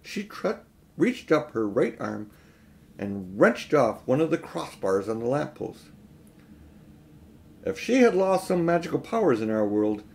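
A middle-aged man narrates an audiobook story at home. His voice sits a little high and moves widely in pitch, giving the tale lively, expressive shape. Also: vocal fry. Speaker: audiobook reader. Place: small room at home, indoors.

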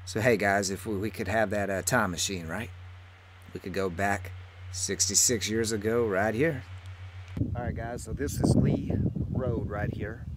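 Enthusiastic low-voiced man vlogging outdoors. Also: fairly even intonation, a little slow. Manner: enthusiastic, addresses viewers directly. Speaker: travel vlog host. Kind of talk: on-location narration to camera about music history. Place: outdoors at a small-town baseball field. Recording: outdoor ambient noise, passing vehicles.

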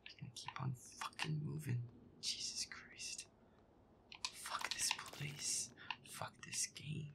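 A man giving irritable, foul-mouthed gameplay commentary in a breathy, often whispered mutter. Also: on the high side, big pitch swings, takes it slowly. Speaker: gamer playing a horror game in character.

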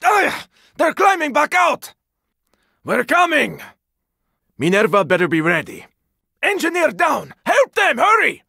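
A man playing a gruff, weary, sardonic squad fighter in a zombie video game, speaking in terse lines with a raspy voice. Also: extremely high pitch, wildly swooping pitch, measured pace, long pauses, sharp tone, dry recording, studio quality, heavily acted. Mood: urgent, shouting. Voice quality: raspy, gravelly. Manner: terse, urgent, swearing. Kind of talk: video game character combat barks.

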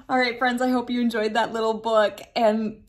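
A woman in her thirties reading a picture book aloud to children, gentle and playful, laughing now and then, recorded on a phone camera indoors. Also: fairly high pitch. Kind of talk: read-aloud storytime for kids. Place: bright room indoors.

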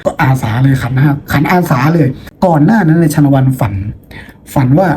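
Guy teacher telling stories to students, mellow dark voice, chatty n playful, bit of background noise. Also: fairly high pitch, brisk. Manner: chatty and playful, with rhetorical questions. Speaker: teacher and host of a lesson video. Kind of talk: informal storytelling lecture to students.

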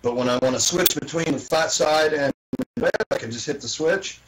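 A man's voice: fairly high pitch, fairly quick, background noise. A man chatting informally on an online group call as a radio hobbyist, rambling and repeating himself. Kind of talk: casual chat.

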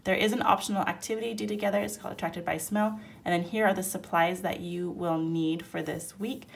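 A woman with a cold who sounds nasal, walking through a homeschool curriculum in a relaxed, chatty way at a table. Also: fairly low pitch, brisk, gravelly. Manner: relaxed, chatty, explaining step by step. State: sick, nasal. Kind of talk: casual product flip-through. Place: indoors at a table, hands-only overhead camera.

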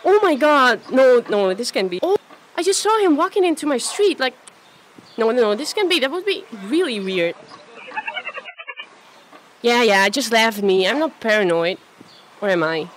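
A woman's voice: on the high side, sing-song, normal speed, long pauses, a little hiss or hum, low-quality recording. A woman playing a friendly, chatty, self-doubting young woman in an amateur radio play, with a hesitant, natural delivery. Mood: alarmed.

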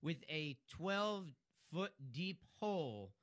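A man's voice: on the high side, big pitch swings, slow pace, a bit bright. A man with a Philadelphia accent, co-hosting a casual radio show about real estate and telling wry stories. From Philadelphia area, US. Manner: storytelling, wry, joking.

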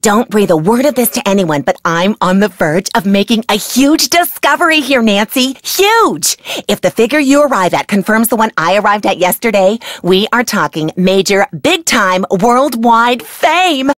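An actress plays an eccentric female field scientist from a video game. She is bossy and wordy, swooping up and down in pitch as she rambles with great emphasis. The sound is dry and close. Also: lively intonation, normal speed, hardly any pauses, no echo, close to an everyday voice. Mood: excited, boastful. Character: eccentric, driven, easily sidetracked woman insect researcher. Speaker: game character, field entomologist. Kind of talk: scripted video game voice acting.

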